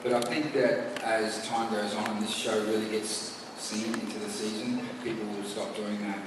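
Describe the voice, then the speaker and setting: A man's voice: fairly low pitch, flat delivery, normal speed, background noise. A man on a convention panel, answering a fan in a rambling, joking way, often repeating words. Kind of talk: answering fan questions on stage. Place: large convention hall. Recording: echoey hall from far off.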